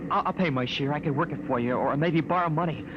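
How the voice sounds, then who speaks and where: Man playing a high-voiced, frightened young space recruit. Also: quick pace, close to an everyday voice. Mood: pleading. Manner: earnest, pleading, hesitant stammer. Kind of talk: 1950s radio drama acting. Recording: noisy, muffled 1950s radio recording.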